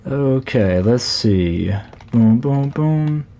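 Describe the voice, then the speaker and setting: A man live-streaming a Minecraft session, chatting casually in a scratchy, slightly hoarse voice while he plays. He rambles, corrects himself and leans on fillers. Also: medium pitch, animated, extremely slow, mellow, highs cut off. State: hoarse from talking a lot.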